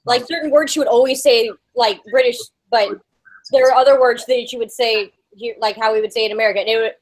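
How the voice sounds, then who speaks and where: A woman's voice: on the high side, fairly even intonation, fast talker, low-quality recording. A woman on a group video call, telling casual anecdotes in a chatty way. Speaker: livestream guest. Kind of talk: casual storytelling chat.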